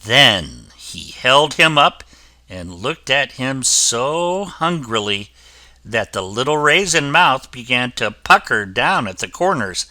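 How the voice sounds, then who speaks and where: A man reading a children's fairy tale aloud as the storyteller, with an expressive delivery. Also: medium pitch, takes it slowly, no echo.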